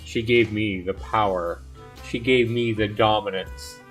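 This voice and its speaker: A man's voice: on the low side, steady tone, unhurried, fairly bright tone. A man livestreaming a visual novel, reading it out casually and dropping informal, chatty asides in between. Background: music.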